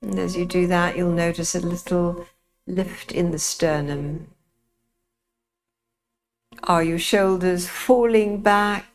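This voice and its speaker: A woman teaching yoga nidra, guiding a relaxation in a soothing, gentle way with unhurried pauses. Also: fairly low pitch. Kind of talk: guided relaxation, meditation instruction.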